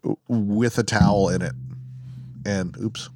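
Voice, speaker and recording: A man's voice: on the low side, fairly even intonation, measured pace, dark tone, no echo, studio quality. A man co-hosting a podcast in casual banter, joking and rambling with lots of restarts. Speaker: podcast co-host. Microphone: headphones and mic stand.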